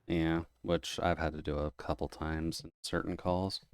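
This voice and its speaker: A man in casual chat while gaming, talking quietly with a low-energy, mumbled delivery over a voice call. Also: level tone, normal speed, clear, resonant tone, dry recording, studio quality. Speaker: streamer's guest playing a game.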